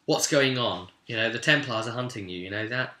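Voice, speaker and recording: A man's voice: mid-range voice, brisk, pushed and bright, lightly acted. Man as a blunt, determined adventurer, echoey room. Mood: questioning. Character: determined male adventurer defending a hunted mage. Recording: roomy, echoing sound.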